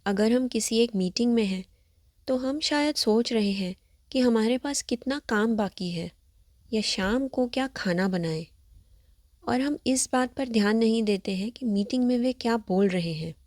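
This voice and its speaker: A woman leading a scripted guided meditation, giving gentle, measured instructions. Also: on the high side, no echo, clean studio sound. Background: background music.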